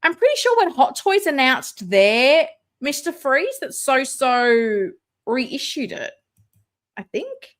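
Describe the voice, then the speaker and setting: A woman's voice: sing-song, slow pace. A woman hosting a live toy collecting stream, chatting casually, reading viewer comments aloud and unboxing a figure. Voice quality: self-described booming voice. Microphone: desk mic, moved away at times.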